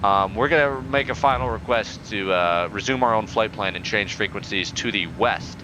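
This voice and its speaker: A young man playing a pilot in a flight game, using formal radio phrasing in a deadpan, increasingly exasperated way over a headset. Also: on the low side, moderate pace, noisy background. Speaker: pilot player in a flight sim. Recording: game audio in background.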